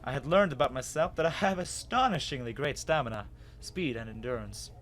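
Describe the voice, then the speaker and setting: A man giving an expressive, dramatic first-person reading of a story as its narrator. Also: medium pitch, a little fast. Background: music.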